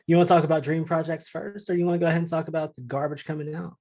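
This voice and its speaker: A man's voice: on the high side, flat delivery, very fast, a little mellow. A man co-hosting a podcast in casual, unscripted conversation. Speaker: show co-host.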